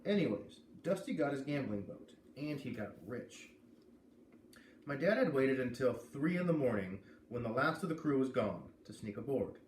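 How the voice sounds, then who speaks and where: A man's voice: medium pitch, sing-song, normal speed. A man hosting a read-aloud, narrating a storybook in a casual, conversational style. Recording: echoey room, distant mic, faint noise.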